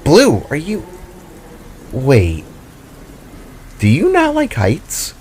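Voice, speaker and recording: A man's voice: on the high side, wildly swooping pitch, extremely slow, a little mellow, voice pushed up, exaggerated voice. Bold, teasing heroine for an audio drama, acted out by a man, slightly noisy recording. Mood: curious. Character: playful, confident female guitarist adventurer.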